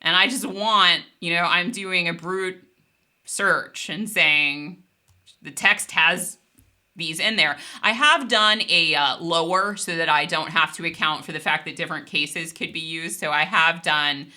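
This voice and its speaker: A woman instructor explaining a technical software topic in a conversational, informal and emphatic way, as if thinking aloud. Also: fairly low pitch, unhurried.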